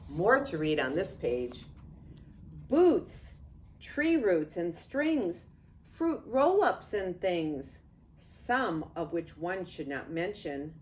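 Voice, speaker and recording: An older woman, a grandmother, reads a picture book aloud to young children in an expressive, unhurried way with casual asides. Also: on the low side, slight background noise. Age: 60s or older.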